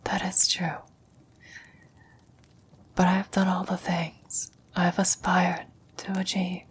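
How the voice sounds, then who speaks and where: A woman playing a gentle, wise, dying old woman in a whispered, breathy, solemn ASMR roleplay. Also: on the low side, completely flat delivery, slight background noise. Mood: resigned, tender. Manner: slow, solemn, measured delivery.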